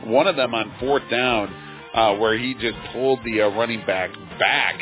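Brief: man, 50s, radio sports announcer, lowish voice, thin phone-line sound, faint music behind. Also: normal speed. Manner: relaxed, chatty, with frequent fillers. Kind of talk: high school football radio commentary.

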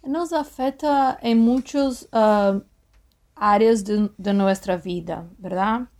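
A woman's voice: medium pitch, slow pace, darkish tone. A woman from Brazil, a psychologist and guest, answering interview questions with a Brazilian Portuguese accent, hesitating as she searches for words. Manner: hesitant, with fillers and restarts while searching for words.

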